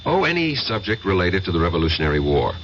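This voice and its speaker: A man's voice: sits low, big pitch swings, a bit bright, full character voice. A man playing a hesitant, polite history professor with a haunted air in a vintage radio mystery. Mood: dry, instructing. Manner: halting, stammering, polite. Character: flustered, hesitant male American history professor, quietly haunted. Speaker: radio drama actor. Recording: muffled, hissy old radio recording.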